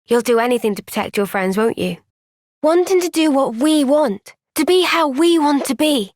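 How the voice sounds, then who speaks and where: A woman with a British accent voicing an earnest, gentle, thoughtful young woman soldier in a video game cutscene. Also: high-pitched, lively intonation, moderate pace, no room sound, clean studio sound.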